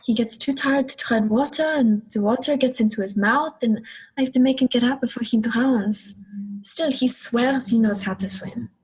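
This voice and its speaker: A woman's voice: a little high, moderate pace, a little mellow, slightly rough, low-quality recording. A young woman playing a plaintive, questioning girl, an older sister, in a radio play. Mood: worried. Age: young adult.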